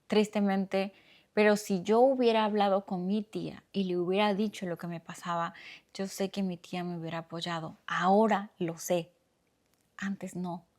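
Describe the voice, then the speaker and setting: Soft-spoken Mexican woman sharing her story. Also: medium pitch. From Oaxaca, Mexico. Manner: soft-spoken, reflective, speaks slowly with pauses. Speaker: podcast host. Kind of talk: personal storytelling monologue.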